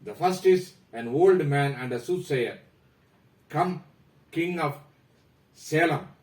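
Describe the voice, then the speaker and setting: A man with an Indian accent, presenting a prepared talk about a novel in a formal, measured way that trails off at the ends of phrases. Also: on the high side, a little mellow, reverberant, far from the mic, poor audio. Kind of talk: reading a prepared literary talk.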